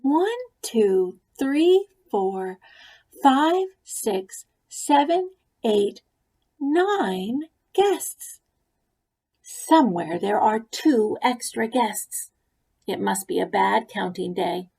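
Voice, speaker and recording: A woman voicing a bossy, organized young girl bunny, speaking brightly and with emphasis. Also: fairly high pitch, expressive, extremely slow, gravelly, husky, full character voice.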